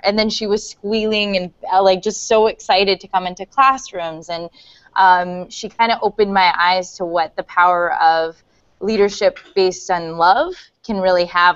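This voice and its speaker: Earnest woman school leader, smooth voice. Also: mid-range voice, no room sound. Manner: earnest, heartfelt, with restarts. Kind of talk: panel video chat. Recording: dull lo-fi sound.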